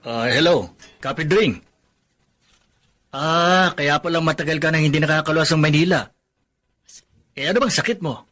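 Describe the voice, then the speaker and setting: A man's voice: fairly high pitch, moderate pace, long pauses, a bit bright, faint music behind, natural voice. An actor playing a tenderhearted, hardworking Filipino husband and father in an earnest, emotional radio drama. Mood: kindly, concerned.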